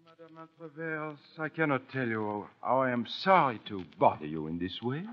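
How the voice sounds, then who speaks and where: An actor playing a courteous, probing detective with a French-speaking Belgian accent and halting, formal phrasing in a radio drama. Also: male voice, mid-range voice, big pitch swings, faint music behind, natural voice. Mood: soothing, formal. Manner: halting, formal, questioning with small interjections. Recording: dull old radio recording.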